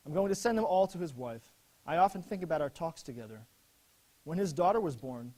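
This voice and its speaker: A young army officer played by a man reads his letters home in a dramatic historical presentation. His voice sits a little high for a man, and he speaks earnestly and solemnly, with measured grief. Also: warm tone, poor audio. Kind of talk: dramatic reading of wartime letters.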